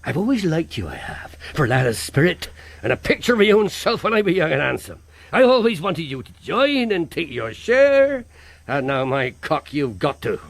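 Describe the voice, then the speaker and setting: An actor playing a wily middle-aged pirate captain in a radio drama, with a theatrical seafaring dialect, moving between wheedling joviality and commanding menace. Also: male voice, on the high side, big pitch swings, normal speed, creaky, dry recording, heavily acted. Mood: slyly jovial.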